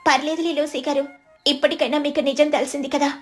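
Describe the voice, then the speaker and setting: A meek young sparrow wife from a cartoon story voiced by a woman, high-pitched and earnest. She sounds plaintive and anxious, over faint background music. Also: flat delivery, fast talker, natural voice. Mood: forgiving.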